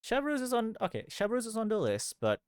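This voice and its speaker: Guy streamer chatting about a game, really up and down pitch, dry sound, repeats himself. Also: wildly swooping pitch, fairly quick, clean tone, no room sound. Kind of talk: casual gaming chat.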